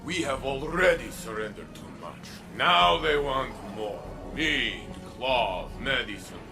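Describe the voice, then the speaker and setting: An actor playing a weary, proud protector of a city in a video game, grave and deliberate with an indignant edge. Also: male voice, mid-range voice, lively intonation, vocal fry, over music. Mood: aggrieved. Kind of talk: dramatic video game dialogue.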